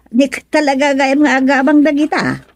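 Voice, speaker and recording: A woman's voice: high voice, steady tone, normal speed, dry recording. An actress with an Ilocano accent playing a gossipy village woman who teases and chats with her neighbors. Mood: chatty. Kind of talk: radio drama acting.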